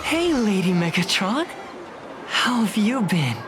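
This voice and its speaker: A woman's voice: medium pitch, sing-song, takes it slowly, music in the background. A woman playing a friendly, cheerful cult member in video game dialogue.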